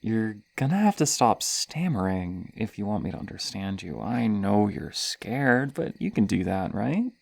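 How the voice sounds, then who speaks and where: A man playing a gleeful, hesitant serial killer villain toying with prey in a horror roleplay, stammering and pausing dramatically between breathy sighs. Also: fairly low pitch, sing-song, heavily acted. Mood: taunting, menacing. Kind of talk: ASMR horror roleplay monologue.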